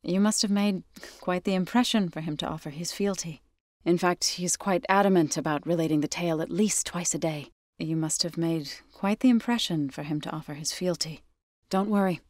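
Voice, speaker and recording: Low-pitched, earnest warrior woman, played by an actress. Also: moderate pace, a little mellow, dry recording, studio quality, lightly acted. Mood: resolute, thoughtful. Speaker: video game character. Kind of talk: scripted video game dialogue.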